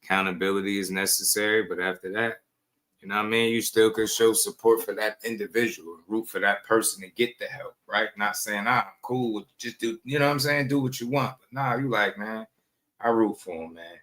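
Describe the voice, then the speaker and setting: Bright, forceful man in casual sports talk. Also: fairly low pitch, fairly quick. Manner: slangy, conversational, emphatic. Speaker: boxing talk show co-host.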